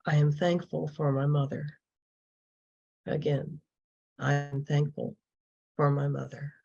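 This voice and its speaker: A woman reading devotional verse and affirmations to a congregation in a measured, deliberate way. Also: low voice, little pitch movement, vocal fry, mellow, highs cut off. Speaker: congregation member reading a service address.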